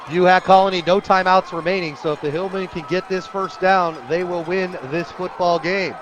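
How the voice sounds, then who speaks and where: An American man doing live radio play-by-play of a football game in an excited, emphatic way. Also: high-pitched, moderate pace, noisy background. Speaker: play-by-play sports announcer. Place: outdoor football stadium. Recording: stadium crowd behind, outdoor game broadcast. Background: stadium crowd.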